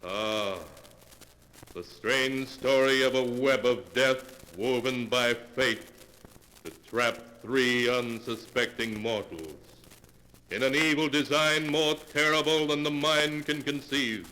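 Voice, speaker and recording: Slow, ominous old-time radio horror storyteller. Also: male voice, mid-range voice, bright, forceful tone. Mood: ominous, grand. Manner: ominous, theatrical, portentous delivery. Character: ominous male storyteller of dark tales. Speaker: announcer and narrator of a horror anthology. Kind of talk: 1940s radio horror narration. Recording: noisy old golden age radio recording.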